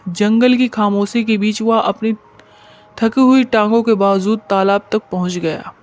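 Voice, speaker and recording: A man's voice: high-pitched, fairly even intonation, unhurried, faint music behind. A man narrating a scripted moral story as its storyteller.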